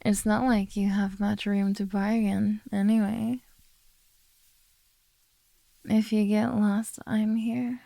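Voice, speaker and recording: A woman playing a possessive, coaxing beastkin monster girl in a soft, teasing ASMR roleplay. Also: mid-range voice, little pitch movement, takes it slowly, gravelly, pauses often, no room sound.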